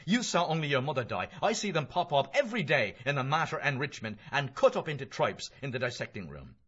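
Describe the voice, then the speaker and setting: A man's voice: medium pitch, big pitch swings, brisk, fairly bright tone, slightly rough, lo-fi sound, voice pushed up. A man voicing a brash, mocking young male medical student in an audiobook, theatrical, declamatory and boisterous. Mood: scornful, indignant. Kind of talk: literary audiobook character voice.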